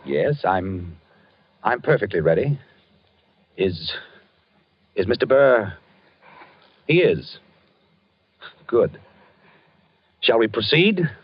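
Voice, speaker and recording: Husky old-radio gentleman, dry and close, very slow and swinging in pitch. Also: sing-song, dry recording, heavily acted. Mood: dreamy, formal. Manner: formal, polite, measured sleep-talk. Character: gentleman calmly preparing for a duel in his sleep. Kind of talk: 1940s radio acting. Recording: dull vintage radio recording.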